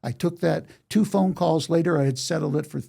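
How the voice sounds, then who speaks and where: A man's voice: fairly high pitch, a little fast, creaky, mellow. A man in his eighties telling stories from his life in a conversational interview, quoting remembered exchanges as he goes. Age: 80s. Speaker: interview guest, songwriter and storyteller.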